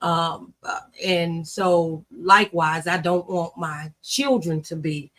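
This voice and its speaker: A woman's voice: lowish voice, slow pace, fairly bright tone. A middle-aged woman hosting a talk show in a casual, conversational style.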